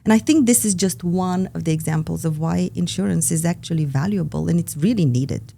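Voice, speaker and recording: A woman with a Bulgarian accent, a podcast guest talking in an earnest way with frequent pauses in a studio. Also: fairly low pitch, vocal fry, warm tone. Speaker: operations lead, guest. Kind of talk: podcast conversation. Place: recording studio.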